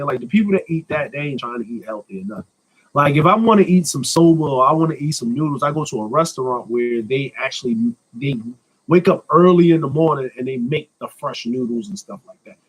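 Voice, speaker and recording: Blunt American man, slightly high voice. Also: breathy edge, rough recording. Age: 30s. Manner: blunt, slangy, profane, joking. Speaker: live stream host. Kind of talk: casual live Q&A chat.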